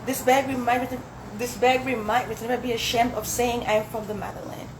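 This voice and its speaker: A young woman from Zambia, a Swahili speaker, performing a reflective spoken word poem in a measured recitation at a dinner event. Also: fairly high pitch, fairly even intonation. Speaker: poet. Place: indoor dinner event hall. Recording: roomy hall with background noise.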